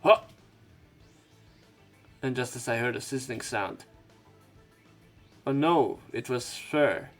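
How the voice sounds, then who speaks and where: A man's voice: mid-range voice, slow, pauses often. A man reading a visual novel aloud as a let's play narrator, mixing in casual, crude joking asides. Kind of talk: reading game text aloud with commentary. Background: faint game music.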